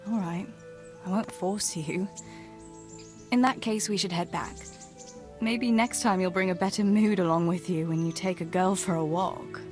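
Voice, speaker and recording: An actress playing a tender, gently teasing young village woman in a medieval video game, soft-spoken and earnest. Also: fairly low pitch, normal speed, music in the background, natural voice. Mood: playful, teasing. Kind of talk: naturalistic video game dialogue acting.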